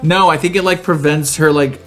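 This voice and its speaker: A man's voice: fairly high pitch, steady tone, moderate pace, soft background music. A man co-hosting a podcast with casual, chatty banter and a campy, sassy way of talking. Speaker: podcast co-host. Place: home garage set up as a studio.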